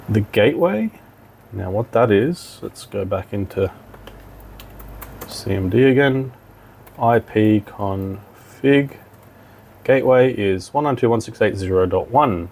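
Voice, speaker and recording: A man giving an informal software tutorial, thinking aloud as he instructs step by step. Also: on the low side, creaky, slight background noise. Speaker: technical demonstrator. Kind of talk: software tutorial walkthrough, talking while clicking.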